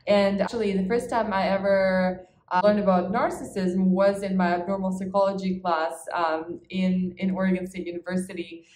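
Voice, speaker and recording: A woman's voice: medium pitch, little pitch movement, moderate pace, hardly any pauses, darkish tone. A woman, talking earnestly and emphatically to camera as a relationship coach. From Ukraine. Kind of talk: talk to camera introducing herself to clients. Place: medium-sized living room indoors. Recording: echoey reverberant living room.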